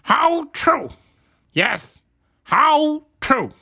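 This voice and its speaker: A woman voicing a big, proud female kangaroo in a storybook reading, with a high haughty put-on voice. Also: very animated, very slow, pushed and bright, heavily acted. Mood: eager agreeing. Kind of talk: storybook read-aloud.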